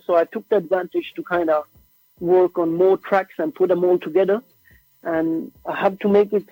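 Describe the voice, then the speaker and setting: A young Norwegian-born man with a high, gravelly voice speaks in a relaxed, slangy way, tossing in "bro" and "like". He is a musician guest whose first language is Norwegian. The sound comes through a tinny, phone-like remote line that is dry and close. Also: no room sound. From Norway. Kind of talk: casual interview chat.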